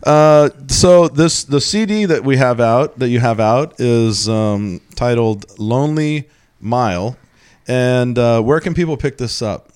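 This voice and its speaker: A man's voice: mid-range voice, unhurried, a little mellow, clear, resonant tone. A man hosting a radio show, chatting casually with a band as he interviews them. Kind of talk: casual chat.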